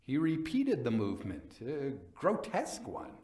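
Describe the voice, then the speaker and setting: Slow, theatrical male storyteller. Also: medium pitch, huge pitch swings, darkish tone, roomy sound. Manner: theatrical, measured. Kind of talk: dramatic literary narration.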